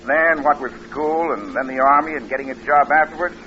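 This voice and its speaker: A man's voice: fairly high pitch, a little fast, natural voice. An actor playing a trusting, easygoing motorist in his twenties, in 1940s radio style. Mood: candid, reflective. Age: 20s. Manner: frank, easygoing. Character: candid, trusting young motorist, 27, heir to aunt. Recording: dull, noisy old radio recording.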